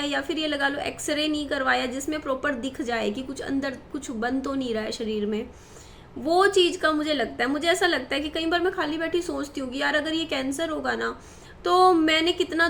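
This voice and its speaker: Worried high-voiced woman in her thirties. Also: fairly bright tone, a little hiss or hum. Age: 30s. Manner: worried, rambling, many fillers and restarts. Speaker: vlogger. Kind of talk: personal talk to camera.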